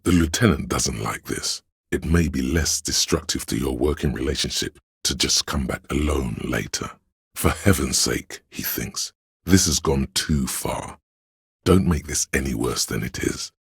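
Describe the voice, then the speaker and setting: A man delivering dramatic, literary narration for a video game in a grave, deliberate, gravelly voice, recorded close in a studio. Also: animated, no echo. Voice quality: gravelly, husky, very deep. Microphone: close studio microphone, dry.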